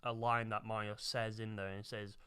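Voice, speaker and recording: A man's voice: fairly low pitch, flat delivery, moderate pace, sharp tone, smooth, no echo. A man co-hosting a casual chat about video games and films, rambling and repeating phrases as he goes. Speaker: co-host of a video game discussion show.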